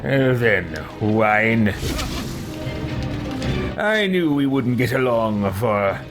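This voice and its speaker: Man playing a haughty ruthless adventurer, swooping and slow. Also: medium pitch, very animated, music in the background. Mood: menacing scorn. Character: cold, ruthless, arrogant male adventurer. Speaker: video game player voicing his own character. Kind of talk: in-character roleplay commentary.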